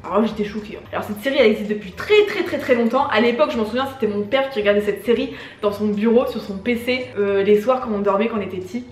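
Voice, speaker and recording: A woman's voice: fairly high pitch, fast, hardly any pauses, roomy sound. A young woman YouTuber chatting casually to camera, slangy and full of swearing. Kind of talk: casual chat.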